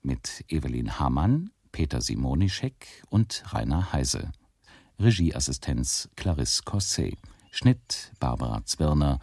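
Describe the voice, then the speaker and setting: A man's voice: very deep voice, sing-song, slow pace, a little mellow, husky, no echo. A man reading scripted credits as the announcer of a radio drama, close on a studio microphone.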